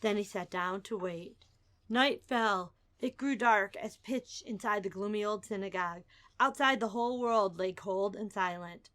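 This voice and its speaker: A woman's voice: mid-range voice, unhurried, fairly bright tone. A woman narrating a storybook as a dramatic reading, theatrical and emphatic, building suspense.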